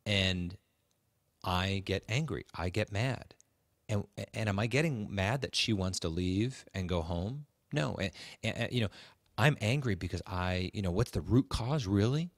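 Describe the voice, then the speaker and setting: Deep-voiced man, conversational podcast co-host. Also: expressive, unhurried, dry recording, clean studio sound. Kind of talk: conversational relationship advice.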